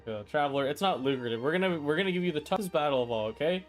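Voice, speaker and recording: A man's voice: fairly high pitch, animated, rapid-fire. Chatty male game streamer over music. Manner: rambling, slangy, chatty. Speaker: livestream host, gamer. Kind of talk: casual live game stream commentary.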